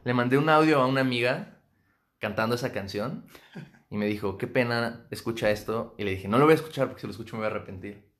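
A Mexican man hosting a film podcast, chatting in a relaxed, joking, stop-start way. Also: mid-range voice, fast talker. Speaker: podcast host. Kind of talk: casual chat.

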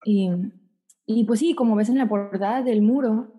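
A woman's voice: a little high, moderate pace, warm tone, husky. A young woman singer from the Tijuana–San Diego border, answering interview questions in a thoughtful, rambling way with bits of English. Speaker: singer, guest.

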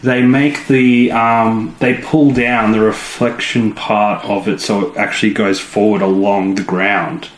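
A man's voice: level tone, unhurried, few pauses. A man co-hosting a podcast, chatting casually and playfully about a TV episode, sometimes jumping into silly voices. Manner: playful, mimics characters with sudden jumps in pitch. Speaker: podcast co-host. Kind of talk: casual chat reviewing an episode. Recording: echoey room, faint background noise.

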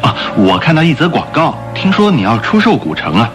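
A confident gentleman thief in a radio play, with very lively intonation over music. His manner is smooth, polite and self-assured. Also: mid-range voice, brisk. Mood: curious, polite. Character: suave, confident gentleman thief posing as rich buyer. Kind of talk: radio drama acting.

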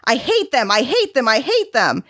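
A woman's voice: high-pitched, huge pitch swings, normal speed, no echo, studio quality, voice pushed up. A woman voicing a sulky, frightened young boy with a temper, blurting in a childlike, petulant way for a narrated story. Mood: angry, screaming.

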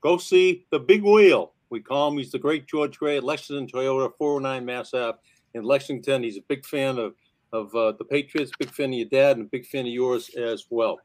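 A man's voice: mid-range voice, moderate pace, darkish tone. A male co-host of a talk show, breezy and teasing, reading a sponsor spot in an upbeat way. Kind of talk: talk show hosting and live ad read.

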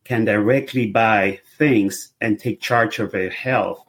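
A middle-aged man from Venezuela speaks with a Venezuelan accent and a husky, slightly low voice. He explains things in long run-on sentences and often restarts them, in a relaxed interview. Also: slow pace. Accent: Venezuelan Spanish. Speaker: guest, lab testing business owner. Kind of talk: casual podcast interview.

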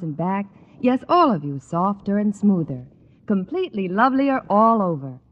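Echoey vintage radio ad woman. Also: mid-range voice. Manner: gushing, alluring sales delivery. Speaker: commercial spokeswoman. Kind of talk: 1940s radio advertising copy. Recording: echoey, muffled old radio recording.

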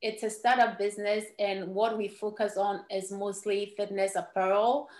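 A woman's voice: mid-range voice, level tone, normal speed, a little mellow. Ghanaian-born woman guest, ewe first language, earnest storytelling, roomy echo. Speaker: guest entrepreneur. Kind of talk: podcast interview storytelling.

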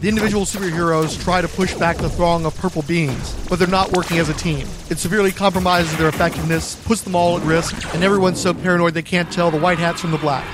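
A man's voice: on the high side, fairly even intonation, quick pace, hardly any pauses, music in the background. A man reading dramatic scripted narration as the narrator of a radio play.

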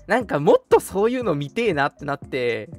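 A man's voice: on the high side, sing-song, fairly quick. Young man chatting casually over music. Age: young adult. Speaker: radio show host. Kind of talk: casual radio chat. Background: background music.

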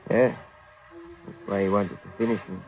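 An actor playing a wounded, bedridden male crook, gravelly, weak and grudging, in an old radio crime drama. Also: fairly low pitch, steady tone, moderate pace, darkish tone, soft background music, close to an everyday voice. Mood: sullen, weak. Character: sullen wounded male crook in a hospital bed.

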